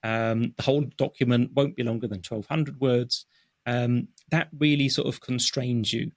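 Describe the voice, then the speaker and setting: A man's voice: medium pitch, fairly even intonation, moderate pace, dry recording. Thoughtful man with a dry close sound. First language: English. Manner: thoughtful, many fillers and restarts. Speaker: guest, technical writer. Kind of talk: conversational interview. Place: home office.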